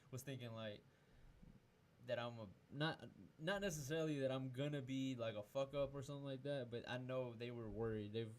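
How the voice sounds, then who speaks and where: A man's voice: medium pitch, normal speed, a little mellow, slight background noise. A man in his twenties from Peru, hosting a casual podcast chat in a loose, profane, rambling way with many restarts. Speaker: podcast host.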